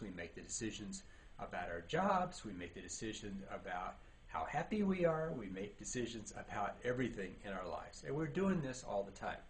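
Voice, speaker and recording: Guy doing a leadership training talk, emphatic, asks rhetorical questions, some noise behind him. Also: fairly low pitch. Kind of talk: instructional seminar talk. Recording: clearly audible background noise.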